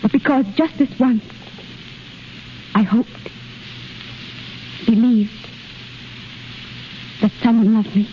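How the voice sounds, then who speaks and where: A woman's voice: a little high, takes it slowly, long pauses. An actress in a 1930s radio drama playing a fragile, self-sacrificing young woman, speaking in an earnest, plaintive, melodramatic way. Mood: heartbroken. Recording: dull, hissy 1930s radio recording.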